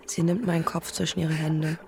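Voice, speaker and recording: A woman's voice: deep voice, level tone, moderate pace, a crowd in the background. A woman playing a numb, self-blaming young woman who narrates her inner thoughts in an audio drama, detached and restrained. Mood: resigned. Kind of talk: introspective first-person audio drama narration.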